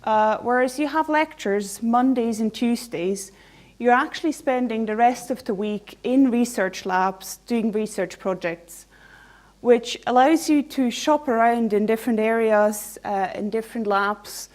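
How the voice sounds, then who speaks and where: A woman's voice: on the high side, unhurried, darkish tone. A woman in her thirties from Switzerland with a Swiss accent, a researcher giving an informal conference presentation, candid and conversational with dry humor.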